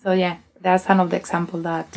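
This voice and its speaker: A woman's voice: on the low side, monotone, fairly quick, vocal fry, a little mellow. A woman with a Spanish accent, a university staff member, talking hesitantly in a podcast conversation with many fillers.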